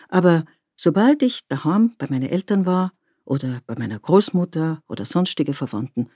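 A woman from Tyrol, narrating a documentary feature in a measured, reflective way. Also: low-pitched, warm tone, slightly rough, no room sound, clean studio sound. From Tyrol, Austria.

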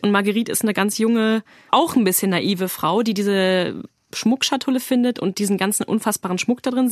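Young female soprano, bubbly and chatty, mid-pitched, dry booth sound. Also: dry recording. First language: German. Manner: enthusiastic, lively, casual phrasing with fillers. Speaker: soprano singer, interview guest. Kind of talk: radio interview.

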